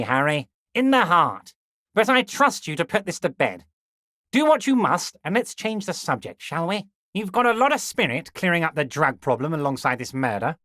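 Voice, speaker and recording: A man's voice: high-pitched, pushed and bright, dry recording, studio quality, heavily acted. A man voicing a smug, scheming union boss in a video game, unctuous and theatrical, with falsely chummy condescension. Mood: mock hurt. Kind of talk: scripted game character dialogue.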